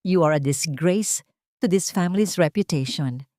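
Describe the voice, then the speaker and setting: A woman's voice: on the low side, moderate pace, darkish tone, no room sound, close to an everyday voice. A woman storyteller plays a rich older matriarch in an audio drama, harsh and domineering. She scolds imperiously in a dry recording. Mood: angry scolding. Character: harsh, domineering wealthy older mother-in-law.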